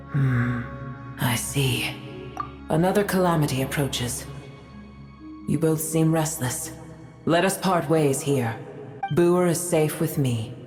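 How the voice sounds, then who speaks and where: A cold, haughty ancient woman from a fantasy game. The voice is low and echoing, with formal, measured phrasing and clear music behind it. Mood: brooding, grave. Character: proud, cold, ancient female being with a grudge.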